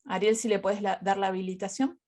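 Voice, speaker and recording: A woman moderating an online academic conference, introducing speakers in a halting, hesitant way. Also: mid-range voice, level tone, dark tone. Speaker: session coordinator and moderator.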